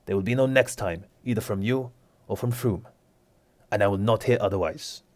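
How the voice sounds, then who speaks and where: A man voicing a stern aristocratic lord who passes judgment in a measured, authoritative way. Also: fairly low pitch, darkish tone, no echo, lightly acted. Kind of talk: tabletop roleplay character voice.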